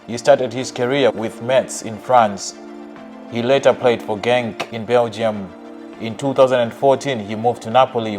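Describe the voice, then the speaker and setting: Male narrator, mid pitch, steady scripted delivery, faint music under the voice. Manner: even, list-like reading of facts.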